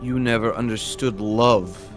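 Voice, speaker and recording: Man playing an earnest, slow-speaking loyal vampire. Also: mid-range voice, over music, lightly acted. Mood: earnest, pleading. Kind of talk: amateur voice acting of a visual novel.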